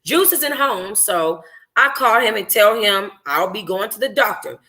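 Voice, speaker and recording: A woman reading a first-person novel aloud informally as a book club host, in an expressive, conversational, slangy way. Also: medium pitch, a little slow, a little hiss or hum.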